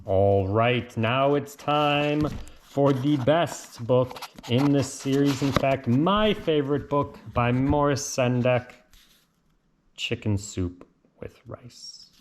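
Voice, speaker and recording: A man reading a children's picture book aloud in an expressive, sing-song, theatrical way. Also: medium pitch, slow pace, a little hiss or hum. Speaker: read-aloud storyteller.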